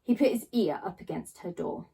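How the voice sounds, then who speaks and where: A woman reading a children's story aloud in a theatrical way, with dramatic pauses and drawn-out emphasis on key words. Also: lowish voice, expressive, gravelly, warm tone, slightly rough, rough recording. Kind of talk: expressive children's storybook narration.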